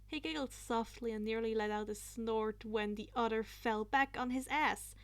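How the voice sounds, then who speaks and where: A woman narrating fan fiction aloud in an expressive, playful amateur style, now and then stumbling over a word. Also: on the high side, moderate pace. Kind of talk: expressive amateur story reading.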